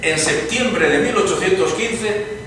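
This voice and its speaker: A man acting a liberal Spanish politician of the early nineteenth century, deliberate and declamatory, in a dramatized reading. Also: sits high, a bit bright. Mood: grave, bitter. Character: liberal Spanish politician of 1815, weary and ironic. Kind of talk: theatrical radio drama dialogue. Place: live reading in an echoing venue. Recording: distant, echoey hall with clear noise.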